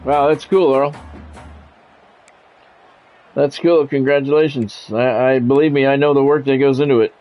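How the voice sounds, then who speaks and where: A man's voice: mid-range voice, fairly even intonation, moderate pace, long pauses, a little mellow, soft background music, poor audio. A man doing sports play-by-play commentary in short announcer-style phrases, mixed with casual chat to his viewers. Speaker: live streamer and play-by-play commentator.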